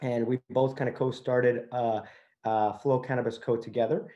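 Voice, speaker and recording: A man's voice: mid-range voice, little pitch movement, moderate pace, warm tone, highs cut off. A man from Venezuela speaking as a company founder on a discussion panel, conversational and peppered with verbal hedging. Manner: conversational, frequent filler "you know" and "kind of".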